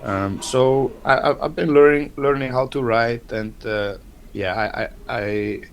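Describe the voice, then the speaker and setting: A man from Iceland, Icelandic his first language, speaking English in a casual interview, soft-spoken and hesitant, often repeating words. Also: medium pitch, unhurried, creaky, smooth. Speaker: band member, interview guest.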